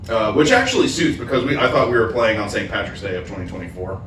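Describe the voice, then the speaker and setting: A man hosting a casual podcast chat, relaxed and rambling, with plenty of fillers and easy laughs, recorded in a living room with a football game on. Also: fairly low pitch, fast. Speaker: podcast host and band member. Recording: roomy, distant mic, noisy background.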